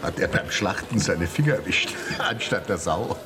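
A complaining, joking husband on holiday in a radio play. His voice is fairly low and swings animatedly over background music. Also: animated, normal speed. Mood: jocular. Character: grumbling, jocular husband, a package tourist. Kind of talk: radio drama dialogue. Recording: music behind the voice.

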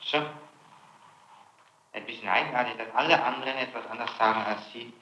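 A man's voice: medium pitch, steady tone, fairly quick, bright, forceful tone. A man working as a prosecutor, questioning formally in a pressing, reproachful way, on an old 1960s interrogation recording. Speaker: public prosecutor conducting an interrogation. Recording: muffled, echoey, noisy 1960s interrogation tape.

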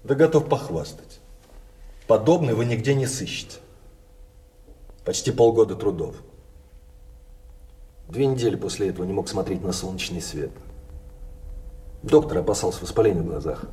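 An actor playing a cool-headed, proud card sharp in a theatrical radio play, speaking deliberately and with self-assurance. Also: male voice, fairly low pitch, lively intonation, recorded from a distance, close to an everyday voice. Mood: proudly boastful. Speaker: stage actor.

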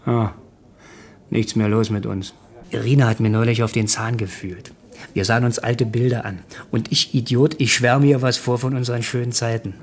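A man's voice: medium pitch, steady tone, normal speed, close to an everyday voice. An actor playing an easygoing, chatty family man in a radio play, talking in a colloquial, conversational way. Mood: nostalgic. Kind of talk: German radio play acting.

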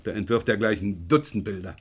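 An actor playing a middle-aged police inspector in a German radio crime drama, gruff, probing and impatient. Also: male voice, lowish voice, fairly quick. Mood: brisk, impatient. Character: brisk, probing French police inspector, the boss.

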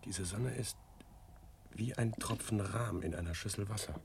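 A man's voice: lowish voice, moderate pace, creaky. A man playing an uneasy, wondering survivor in a 1970s radio drama, speaking in poetic, contemplative phrases. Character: wondering, uneasy survivor gazing at desolate land. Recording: noisy 1970s radio recording.

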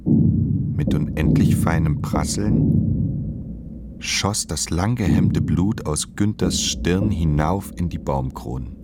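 A man narrates a literary radio play in a measured, solemn and deliberate manner. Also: very deep voice, heavy background noise.

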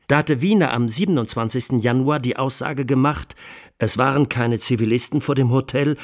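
A male actor plays a 1920s defence lawyer addressing the court in a radio documentary. He has a medium-pitched voice and argues in a deliberate, rhetorical way. He stresses his points firmly and lets the ends of phrases drop. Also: close to an everyday voice. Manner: rhetorical, deliberate, emphatic, with dropped phrase endings. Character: defence lawyer pleading in a 1929 trial. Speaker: defence counsel.

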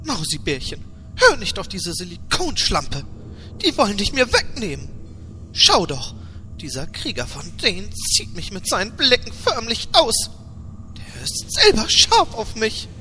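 Jealous, needy dwarf princess, female actor, animated, piercing voice, comic fantasy audio drama with long reverb. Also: medium pitch, unhurried, slight background noise, heavily acted. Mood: jealous, whiny.

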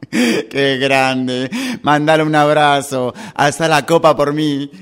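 A man's voice: a little high, very slow, a bit bright, clear, resonant tone. A man playing a friendly guy held up in traffic and phoning old friends in a radio drama, with an Argentine Spanish accent. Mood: delighted, excited. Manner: informal, chummy. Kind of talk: radio drama acting, one side of a phone call.

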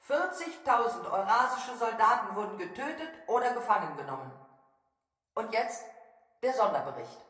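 Echoing high-voiced female propaganda announcer. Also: very animated, moderate pace, fairly bright tone. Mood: solemn, proclaiming. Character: formal state propaganda announcer proclaiming war victories. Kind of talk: solemn public bulletin. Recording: echoing public-address sound, long reverb.

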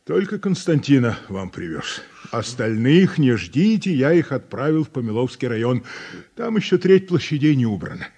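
An actor playing a curt, condescending collective-farm director in a 1980s radio drama. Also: male voice, medium pitch, sing-song, moderate pace, mellow, breathy edge. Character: bossy, dismissive middle-aged farm director.

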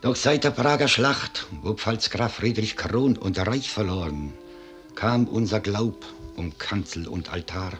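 A man's voice: on the low side, a little slow, fairly bright tone. A man playing a grave, proud and mournful old Bohemian cellar master in a 1950s German radio drama. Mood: proud, solemn. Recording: 1950s radio recording, music behind.

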